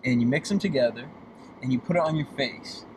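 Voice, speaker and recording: A man in his late twenties from Boston chatting casually and candidly as a guest in a car, with emphatic, expressive delivery. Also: medium pitch, a little fast. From Boston, United States. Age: late 20s. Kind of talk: casual chat. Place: inside a moving car. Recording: clear vehicle road noise. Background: car driving noise.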